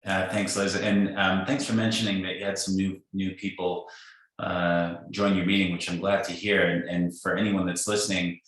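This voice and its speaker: Deep-voiced man chairing a meeting. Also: moderate pace. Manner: polite, steady with fillers. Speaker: meeting host. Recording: echoey room on a call.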